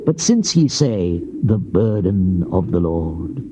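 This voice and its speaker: A man's voice: sits low, sing-song, exaggerated voice. A British actor playing a stern Old Testament prophet, declaiming judgment solemnly in a dramatized King James Bible reading. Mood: wrathful, resolute. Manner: solemn, declamatory, archaic phrasing with drawn-out endings. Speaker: scripture narrator voicing a prophet. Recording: muffled, noisy old cassette tape.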